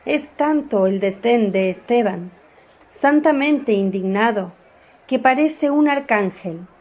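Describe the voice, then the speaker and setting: A woman narrates a radio drama at an unhurried pace, her voice a little high. Her storytelling is measured and clear. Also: animated, darkish tone.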